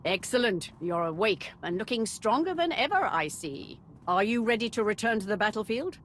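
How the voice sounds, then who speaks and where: An actress playing a proud, warlike empress in a video game, speaking formally and grandly. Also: female voice, medium pitch, animated, moderate pace, fairly bright tone, slight background noise, close to an everyday voice. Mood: pleased. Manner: haughty, formal, declamatory. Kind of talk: cinematic video game voice acting.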